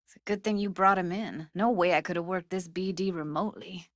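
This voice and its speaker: A woman's voice: fairly low pitch, a little fast, close to an everyday voice. An actress voicing a streetwise young techie woman in a cyberpunk video game, talking in a casual, slangy, wry way. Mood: wry, candid. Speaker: video game character actor. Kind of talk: naturalistic game voice acting.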